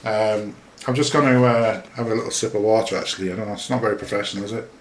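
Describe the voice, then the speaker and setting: A man's voice: fairly low pitch, fairly even intonation, fast, a little hiss or hum. A middle-aged Englishman from Yorkshire with a Yorkshire accent touched by Liverpool, hosting a podcast in a casual, rambling, self-deprecating way with lots of fillers. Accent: Yorkshire English with a slight Liverpool tinge. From Yorkshire, England. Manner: chatty, self-deprecating, many fillers and restarts. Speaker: podcast host. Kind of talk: casual rambling talk over a screen-shared map. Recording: echoey room.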